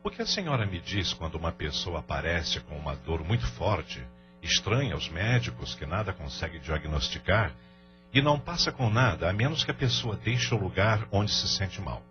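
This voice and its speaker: Man playing a deep-voiced, uneasy family man. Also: sing-song, normal speed. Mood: evasive, probing. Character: troubled, evasive family man and businessman. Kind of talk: audio drama dialogue. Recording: dull lo-fi audio with music underneath.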